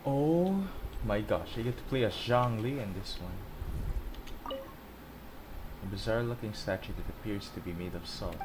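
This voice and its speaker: A man's voice: lowish voice, unhurried, pauses often. A man reacting casually to a video game as he plays, in the manner of a streamer. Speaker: gaming streamer. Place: indoors, talking over game audio. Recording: clear game noise behind voice.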